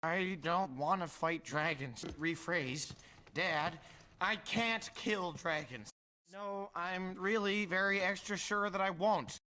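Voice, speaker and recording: A man voicing an awkward, sarcastic, scrawny teenage Viking boy in an animated film, nasal, with a wry, stammering delivery. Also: high voice, slow, noisy background. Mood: insistent.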